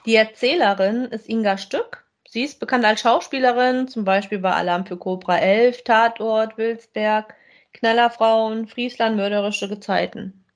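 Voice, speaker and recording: A woman with a fairly high voice co-hosts a review podcast. She speaks informally, in the conversational style of a chat about audio dramas. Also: unhurried. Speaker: podcast co-host.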